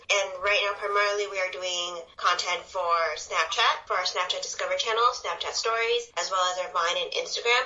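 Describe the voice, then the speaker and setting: A woman's voice: medium pitch, pushed and bright, highs cut off. A young woman from Taiwan chatting casually as an interview guest, explaining her work in talkative run-on sentences. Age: young adult. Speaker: interview guest, social media video producer.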